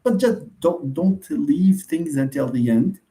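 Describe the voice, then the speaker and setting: A man's voice: fairly high pitch, takes it slowly, dark tone. A man with a Moroccan accent, a university lecturer answering students' questions in an online session, hesitant with many fillers and restarts, and often asking and answering his own questions. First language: Moroccan Arabic.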